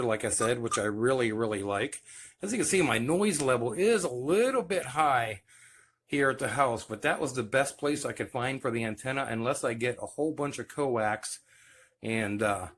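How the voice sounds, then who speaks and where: A man's voice: medium pitch, fairly bright tone. A man giving a casual, hands-on demonstration as the host of a home video. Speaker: host demonstrating CB radio gear. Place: at home by a radio base station. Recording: clear background noise, clicks.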